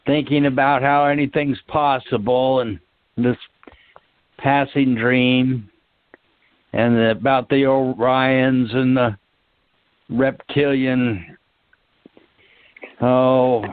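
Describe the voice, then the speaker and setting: A man calling in to a discussion, musing casually in hesitant, drawn-out phrases. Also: medium pitch, fairly even intonation, clean tone, no echo. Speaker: call-in participant. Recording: dry, tinny phone line.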